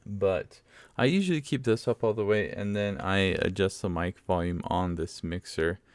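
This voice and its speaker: Low-pitched man, casual gear review. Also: normal speed, no echo. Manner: conversational, explanatory. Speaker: microphone reviewer. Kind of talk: casual product demo talk. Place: open room at home, in a dome house. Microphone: condenser mic on a mixer, fairly close.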